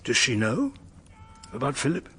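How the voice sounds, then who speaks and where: An actor playing a gruff, imperious upper-class British gentleman in a radio drama. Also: mid-range voice, huge pitch swings, normal speed, exaggerated voice. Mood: indignant, intrigued.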